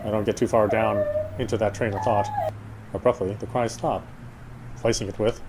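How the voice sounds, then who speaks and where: A man's voice: lowish voice, steady tone, normal speed, heavy background noise. A man streaming a game and reading its narration aloud, now and then stumbling over words.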